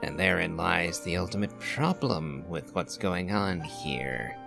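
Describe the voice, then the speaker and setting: A man with a very low voice full of creak comments on a video game. He speaks very slowly and deliberately, musing as he analyses, with game music playing behind him. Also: expressive. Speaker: game streamer. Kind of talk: reflective gameplay commentary.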